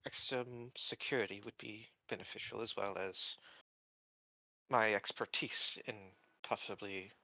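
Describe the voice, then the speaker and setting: A man playing a formal, deferential new security recruit in a tabletop game, with measured, hesitant phrasing. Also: lowish voice, steady tone, gravelly, bright, forceful tone, dry recording, dull audio, full character voice. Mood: polite, businesslike. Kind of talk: improvised in-character roleplay.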